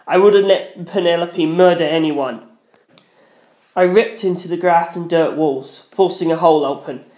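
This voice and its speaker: A man's voice: a little high, unhurried, darkish tone. A man reading a first-person story aloud as a dramatic narrator. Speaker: audiobook-style narrator. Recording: roomy echo.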